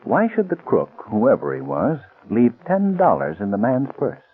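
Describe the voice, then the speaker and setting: A man acting a suave gentleman detective in a 1940s radio drama, polished, inquisitive and deliberate. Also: mid-range voice, huge pitch swings, breathy edge. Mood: musing. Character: suave, probing amateur detective, calm adult gentleman. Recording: tinny vintage radio recording.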